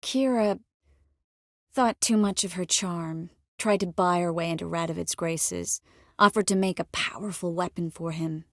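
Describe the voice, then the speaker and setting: An actress playing a young sorceress in a video game, warm and clever, with a wry, quietly determined manner. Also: female voice, lowish voice, normal speed, no echo, studio quality. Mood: matter-of-fact. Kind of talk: video game character dialogue.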